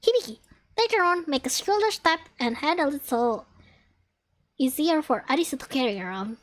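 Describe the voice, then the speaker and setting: A woman voicing a composed young engineer student from an anime game, measured and explanatory. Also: high voice, expressive, pitched below the performer's own voice. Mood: calm, measured. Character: composed young female engineer student, matter-of-fact senior.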